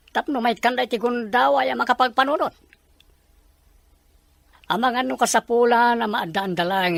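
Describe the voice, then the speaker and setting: A woman's voice: medium pitch, vocal fry, a bit bright, no room sound, exaggerated voice. A woman playing a frank, insistent confidant in a melodramatic family drama.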